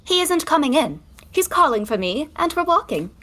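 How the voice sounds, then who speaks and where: Casting: prim, exasperated sister, high swooping voice, huffy scolding, twenties stage comedy. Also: female voice, big pitch swings, moderate pace, fairly bright tone. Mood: impatient. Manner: huffy, scolding, clipped retorts. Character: exasperated, prim, impatient sister of a carefree bather. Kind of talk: theatrical 1920s stage comedy acting.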